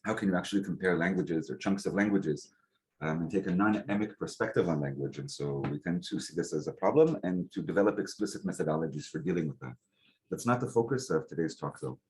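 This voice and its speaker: A man giving an academic lecture to a seminar, relaxed and conversational, with frequent um and uh and rhetorical "right?" checks. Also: low-pitched, fast talker. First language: English. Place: lecture hall. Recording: faint background noise, clicks.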